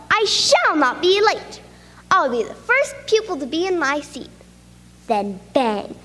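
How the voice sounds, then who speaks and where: A woman's voice: very high-pitched, a bit bright, big-room sound, exaggerated voice. A woman reading the part of a flustered schoolboy who stammers out a long, breathless excuse.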